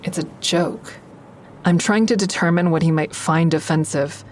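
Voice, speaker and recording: A woman's voice: low voice, steady tone, normal speed, lightly acted. An actress playing a frank, sardonic young woman who narrates her own story in an audio drama in a confessional, wry way. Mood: uneasy, reflective. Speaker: actor in a fiction podcast.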